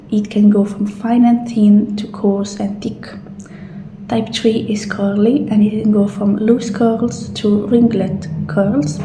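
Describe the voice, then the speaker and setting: Soft mellow asmr lady half whispering, gentle attendant roleplay, roomy sound w a bit of background noise. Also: medium pitch. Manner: gentle, hushed attendant walking a client through options.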